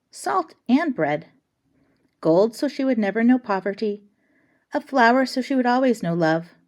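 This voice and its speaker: A woman reading a children's picture book aloud with expressive, deliberate phrasing. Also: mid-range voice. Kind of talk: storybook read-aloud.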